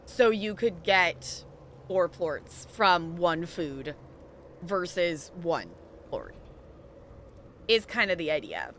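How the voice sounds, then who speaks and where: Bright-voiced woman live streamer, unhurried. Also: on the low side, animated, a little hiss or hum. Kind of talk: casual chat with stream viewers while gaming.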